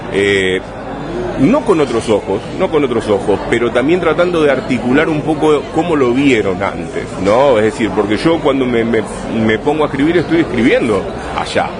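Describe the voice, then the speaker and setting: A man from Rosario, Argentina, with a Rosario accent, an interviewed writer talking in a thoughtful, halting way full of restarts and tag questions. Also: mid-range voice. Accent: Rosario Argentine Spanish. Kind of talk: relaxed conversational interview. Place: busy bar with street traffic behind. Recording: noisy crowded bar ambience. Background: crowd chatter and passing vehicles.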